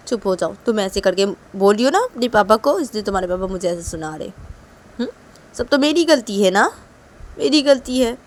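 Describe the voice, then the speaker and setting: A woman's voice: on the high side, natural voice. A woman acting as a hurt mother who admonishes a child and blames herself repetitively, in an amateur story. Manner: repetitive, self-blaming, admonishing. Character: hurt, reproachful mother gently scolding a child.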